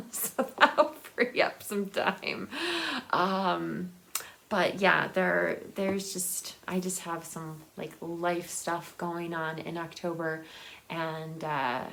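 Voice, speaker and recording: A woman's voice: on the low side, creaky, a bit bright. A woman chatting casually and enthusiastically about books as a vlog host, in a rambling, giggly, unscripted way. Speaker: book vlogger and host. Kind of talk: casual unscripted book chat.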